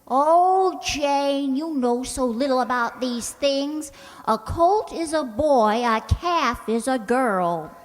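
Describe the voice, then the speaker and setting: A woman plays a ditzy young working girl in a radio sitcom, very high-voiced and swooping widely in pitch. She is earnest, guileless and sure of herself, and she takes her time. The sound rings with long hall reverb. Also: animated, slow pace. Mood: earnest, naively confident. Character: scatterbrained, naive, cheerfully confident young working woman.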